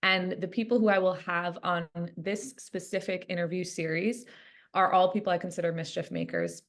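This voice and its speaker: A woman's voice: fairly low pitch, fairly even intonation, moderate pace. A woman hosting an interview podcast, earnest and encouraging, asking long open questions. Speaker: podcast host, coach.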